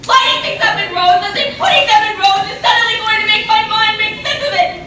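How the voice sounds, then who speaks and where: A high-pitched, anguished young woman pleads and grieves in a stage play, acted by a woman. The delivery is theatrical and deliberate. It is captured from far off in a very echoey hall with loud noise. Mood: distraught. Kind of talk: stage play monologue and dialogue. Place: stage in a reverberant hall, distant mic.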